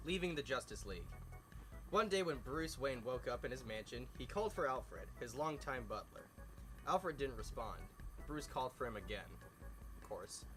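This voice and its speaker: A man reads a story aloud in a mid-pitched voice, tripping over words and stepping out for joking asides. Music plays clearly behind him. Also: fairly bright tone, low-quality recording. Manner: stumbles over words while reading. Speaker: host reading fan fiction aloud. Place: outdoors by a fireside at night.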